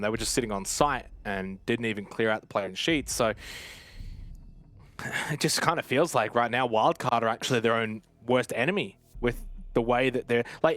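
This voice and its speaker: A man's voice: medium pitch, fairly bright tone. A man commentating live on an esports match, switching between play-by-play and conversational analysis.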